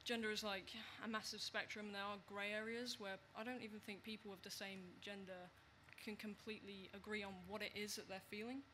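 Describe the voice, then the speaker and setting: A man's voice: sits high, flat delivery, fairly quick, few pauses, pushed and bright. Young man on a panel, noisy hall. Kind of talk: panel discussion.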